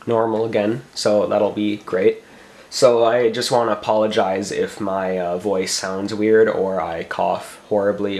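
Young male vlogger, slightly low voice, getting over a cold, loose rambling delivery, camera mic at a bedroom desk. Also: darkish tone. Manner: rambling, filler-heavy, self-correcting. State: recovering from a cold. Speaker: tech vlogger. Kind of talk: casual show-and-tell.

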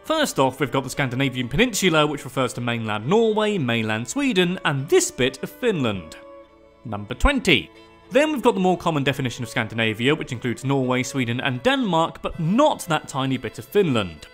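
A man's voice: very animated, a little fast, a bit bright, soft background music. A man giving wry, jokey scripted narration of a fact video. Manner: wry and jokey, with sudden pitch jumps.

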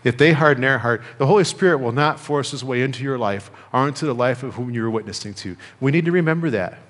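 A middle-aged American man preaching a sermon as a pastor, speaking in measured phrases with pauses and repetition. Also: mid-range voice, a bit bright. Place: church sanctuary, indoors at a lectern. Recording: reverberant church hall.

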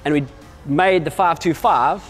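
A man in his forties talks straight to the camera about kayaks, his voice sitting a little high for a man. He speaks with lively energy, gesturing as he goes and often restarting his sentences. Soft music plays faintly underneath him in an indoor showroom. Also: measured pace. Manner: energetic, gestures constantly while talking, many restarts. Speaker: kayak company representative. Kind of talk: explanatory product talk to camera. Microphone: camera at medium distance.

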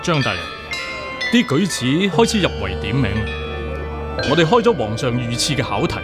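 A man with a Hong Kong Cantonese accent playing a stern, righteous imperial examiner in a radio drama, forceful and oratorical. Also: medium pitch, big pitch swings, normal speed, loud music behind the voice, close to an everyday voice. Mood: brisk, official. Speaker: actor.

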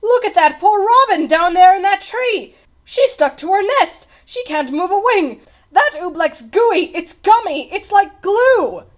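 A woman's voice: high voice, normal speed, few pauses, pitched above the performer's own voice, close to an everyday voice. A woman voicing an earnest, anxious young page boy in a storybook, urgent and exclaiming. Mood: alarmed. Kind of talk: storybook character voice.

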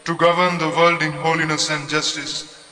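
A man leads prayer responses with hardly any rise or fall in his voice. His tone is bright and somewhat higher than most men's. The long reverb of a large church follows each phrase. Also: normal speed, a little hiss or hum. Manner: flat, even recitation. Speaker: prayer leader. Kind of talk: liturgical responses.

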